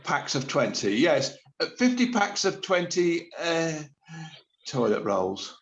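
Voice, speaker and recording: A man's voice: a little high, big pitch swings, slow, vocal fry, exaggerated voice. A man playing an awkward, sheepish shopper in a radio play, hesitant, stammering and evasive. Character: awkward, sheepish panic-buying supermarket shopper. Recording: slightly dull lo-fi sound.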